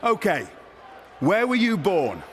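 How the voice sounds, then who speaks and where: A man's voice: sits high, huge pitch swings, slow pace, noisy background, exaggerated voice. A man playing a pompous, theatrical wrestling general manager in a video game cutscene, speaking in formal, grandiose phrases. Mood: pompous, officious.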